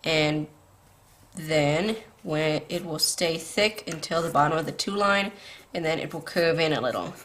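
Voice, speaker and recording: A woman's voice: low voice, a little slow, a bit bright. A woman hosting a drawing tutorial, explaining patiently step by step, her voice tired and scratchy from a late night. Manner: patient, beginner-friendly explaining.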